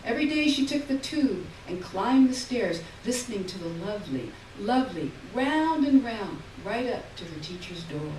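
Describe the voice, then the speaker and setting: A woman reading literary fiction aloud on stage in a lyrical, measured way, heard from far off in an echoey hall. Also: medium pitch, very animated, darkish tone, slight background noise. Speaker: reader. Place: theater stage.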